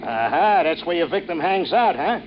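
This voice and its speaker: A nosy, knowing New York cabbie in a vintage radio play. His voice sits high, and he chatters in folksy street slang. The recording is muffled, with slight noise. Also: very animated, moderate pace. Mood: enthusiastic, eager. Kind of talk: old-time radio drama acting.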